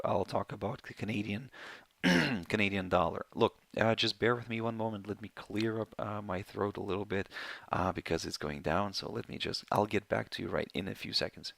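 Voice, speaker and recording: A man with a hoarse, failing voice, hosting a live market webinar in a rambling way with many fillers and self-corrections. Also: fairly low pitch, a bit bright, no echo. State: hoarse, voice going.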